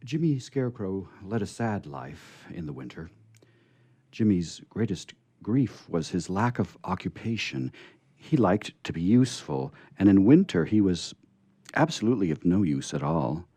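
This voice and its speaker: A man hosts and narrates stories for radio. His voice is low and mellow, and it swings widely in pitch as he stresses words dramatically. The delivery is that of someone reading aloud. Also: sing-song, a little slow, husky, no echo. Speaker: radio show host and story reader. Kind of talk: scripted story narration with hosting remarks.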